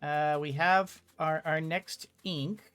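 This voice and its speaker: A game streamer with a mid-range voice and a very slow pace. The commentary is casual and rambling, with choices mulled over aloud. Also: medium pitch, fairly even intonation, a bit bright, clear, resonant tone. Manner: thinking aloud, hesitant, rambling.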